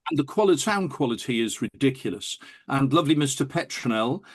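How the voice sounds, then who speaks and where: A man's voice: mid-range voice, animated, fairly quick, breathy edge. A man talking enthusiastically and telling anecdotes as an interview guest. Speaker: interview guest, audiophile recording producer. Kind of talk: animated anecdotal interview talk.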